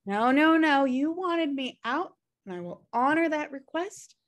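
A woman's voice: sits high, animated, unhurried. An American woman reading the part of a flippant, irresponsible twin sister in a sitcom script. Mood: dramatic, flippant. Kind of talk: sitcom script table read. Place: indoor room, on a video call. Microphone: video call microphone.

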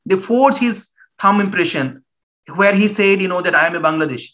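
A man's voice: sits high, fairly quick. A man in his thirties with an Indian English accent, giving an earnest talk as a human rights lawyer and pressing the listener with pointed questions. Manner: animated hand gestures. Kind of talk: public talk. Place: small room at home, indoors.

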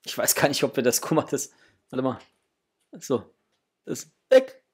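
Husky man, casual and enthusiastic. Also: medium pitch, very animated, moderate pace. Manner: enthusiastic, exclamatory, muttering to himself while handling things. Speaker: host of a toy unboxing channel. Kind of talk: casual unboxing chat to viewers. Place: small indoor hobby room.

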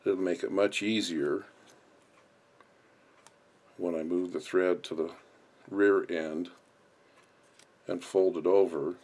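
A man's voice: deep voice, steady tone, takes it slowly, pauses often, a little mellow. A man instructing in an unscripted, step-by-step way as he explains hands-on work. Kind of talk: hands-on tutorial narration.

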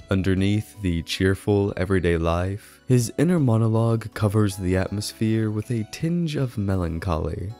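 A man reading scripted video essay narration in a measured, formal manner. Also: fairly low pitch, warm tone. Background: background music.

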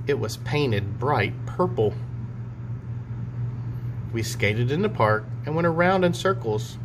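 A man's voice: medium pitch, big pitch swings, takes it slowly. A man in his forties talking and reading a storybook to young children in simple, child-directed phrasing, like a teacher. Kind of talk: read-aloud storybook and chat for children. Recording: clear background hum and noise.

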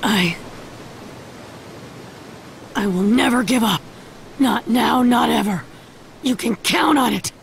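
A woman voicing a brash, stubborn, loyal teenage boy in an American-accented anime dub, with a raspy edge. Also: fairly high pitch, takes it slowly, long pauses, a little hiss or hum. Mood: determined. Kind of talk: English anime dub acting. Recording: music behind the voice.

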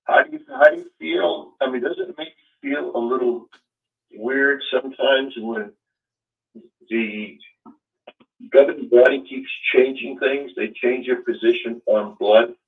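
A man hosting a call-in show, rambling through question after question with lots of fillers. His voice comes over a thin, phone-like line at an ordinary pitch. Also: animated, measured pace, creaky.

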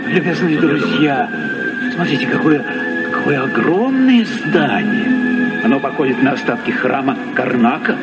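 Casting: formal gentleman explorer for radio play, mid-pitched, hugely animated, music behind. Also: unhurried, highs cut off. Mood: awed. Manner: ornate, formal, courteous. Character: courtly, old-fashioned gentleman explorer. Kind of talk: Soviet radio drama acting.

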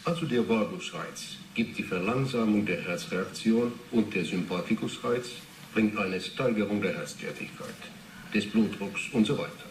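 Man playing earnest young Finnish doctor, lecturing, defensive, articulate, low voice, radio drama, noisy recording. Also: normal speed, far from the mic, close to an everyday voice. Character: earnest thirty-one-year-old Finnish neurology doctor under questioning. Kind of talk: 1980s German radio drama acting. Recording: roomy, noisy interrogation recording.

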